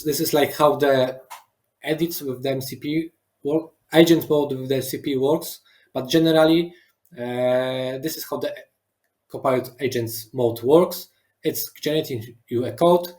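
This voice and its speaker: A man whose first language is Polish, speaking over a video call with halting phrasing and frequent restarts as a guest engineer in a webinar. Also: medium pitch, a little mellow. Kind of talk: live webinar demo and Q&A.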